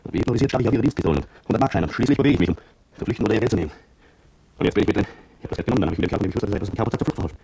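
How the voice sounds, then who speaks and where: An actor playing an intimidated petrol station attendant and bitter ex-soldier, confessing in a brooding radio drama monologue with a husky voice. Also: male voice, lowish voice, animated, very fast, mellow, dry recording, exaggerated voice. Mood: resigned, self-reproachful. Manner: brooding, confessional first-person narration.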